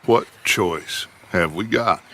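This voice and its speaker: An actor playing a commanding outlaw gang leader of the old West in a video game, grandiose and given to rallying speeches. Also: male voice, sits low, slow pace, fairly bright tone, exaggerated voice. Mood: insistent, persuasive. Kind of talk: video game cutscene acting.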